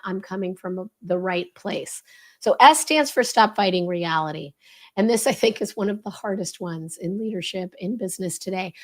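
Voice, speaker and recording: A woman speaks as a leadership expert in an explanatory interview style. Her mid-pitched voice is a little crackly and strained from voice loss. She is emphatic and instructive, posing rhetorical questions to make her points.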